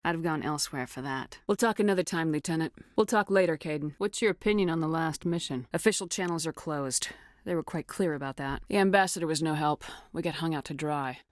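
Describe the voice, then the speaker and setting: A tough female starship commander, played by a woman for a science fiction game. Her voice sits on the low side and is recorded close and dry. She speaks in a firm, clipped, authoritative way with a wry, resolute edge. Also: brisk, no room sound, natural voice. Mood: businesslike, frustrated. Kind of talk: video game voice acting, dramatic dialogue.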